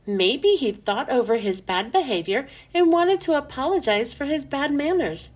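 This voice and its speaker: A woman narrates a children's story in a high voice. Her pitch rises and falls widely, and she leans on and draws out key words for young listeners. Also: expressive, normal speed. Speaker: children's book reader. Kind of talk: expressive scripted storybook narration.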